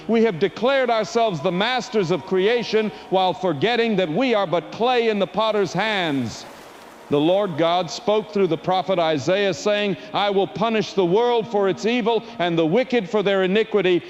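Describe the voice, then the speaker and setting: A man's voice: sits high, lo-fi sound, slight background noise, close to an everyday voice. A man playing a fervent, solemn doomsday preacher, delivering a grandiose apocalyptic sermon in an audio drama. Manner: grandiose, solemn scriptural oratory.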